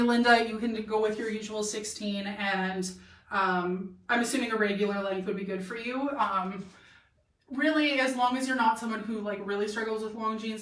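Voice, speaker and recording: A woman's voice: mid-range voice, fairly even intonation, moderate pace, fairly bright tone. A woman chatting enthusiastically on a casual live try-on stream, full of fillers as she answers viewers, her voice a bit worn. She sounds like she is in a roomy, echoey shop, standing back from the microphone. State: voice getting tired. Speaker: boutique plus-size model hosting a live try-on stream. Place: clothing boutique. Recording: echoey room, slightly distant mic.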